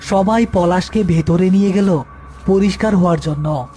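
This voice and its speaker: A man's voice: sits high, moderate pace, mellow, soft background music. A man narrating a scripted horror folk tale as storyteller.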